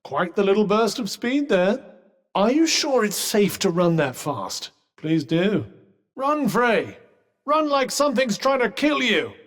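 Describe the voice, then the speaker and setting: An actor voicing a snooty, sardonic talking magical cuff in a video game, with a refined British accent and a dry, pompous manner. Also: male voice, sits high, big pitch swings, measured pace, fairly bright tone, full character voice. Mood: mocking, goading. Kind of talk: game companion combat barks. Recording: long hall-like reverb.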